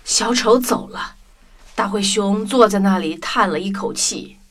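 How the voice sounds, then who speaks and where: A woman's voice: mid-range voice, unhurried, a bit bright, slight background noise. A woman narrating a children's story in a scripted storytelling style. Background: music.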